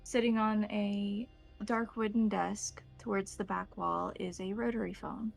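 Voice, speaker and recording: An American woman narrating a tabletop roleplaying game as game master, setting scenes in measured phrases with deliberate pauses. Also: medium pitch, fairly even intonation, gravelly, faint music behind. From United States.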